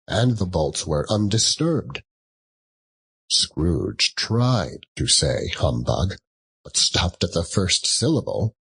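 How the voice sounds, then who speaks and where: A man's voice: fairly low pitch, breathy edge. A man narrating a classic story as a dramatic audiobook reading.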